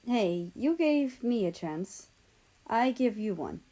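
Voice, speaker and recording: A woman's voice: on the high side, big pitch swings, slow pace, warm tone, heavily acted, low-quality recording. A woman voicing a guarded, distrustful young man with a blunt, hesitant manner in a story reading.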